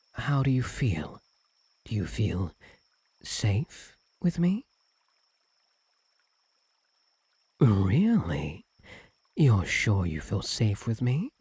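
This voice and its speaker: A man voicing a doting, possessive fox-spirit husband in an intimate ASMR roleplay, soft and breathy, often slipping into whispers, tender and clingy. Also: medium pitch, no echo, heavily acted. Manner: tender, clingy, hesitant, lingering on words.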